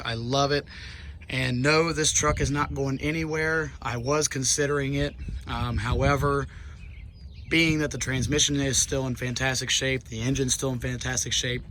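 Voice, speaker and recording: Hoarse man hosting a truck vlog. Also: medium pitch, sharp tone, a little hiss or hum. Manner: rambling, many ums. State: hoarse from laryngitis. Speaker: car channel host. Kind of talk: casual walkaround vlog. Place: outdoors beside a pickup truck.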